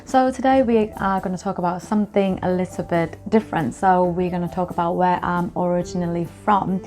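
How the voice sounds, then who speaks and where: A woman's voice: on the low side, fairly even intonation, fairly quick, darkish tone, soft background music. A young woman from Thailand, chatting casually to camera as a vlogger and explaining things with lots of examples.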